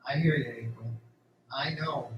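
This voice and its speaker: A man's voice: medium pitch, measured pace, dull audio, natural voice. A man playing an earnest, stubborn farm worker in an audio drama. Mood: sympathetic. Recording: roomy, distant, noisy amateur recording.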